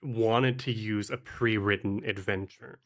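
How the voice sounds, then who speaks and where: A man talking conversationally as a podcast guest and game master, explaining things in a halting, thoughtful way. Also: lowish voice, fairly even intonation. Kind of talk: conversational interview talk.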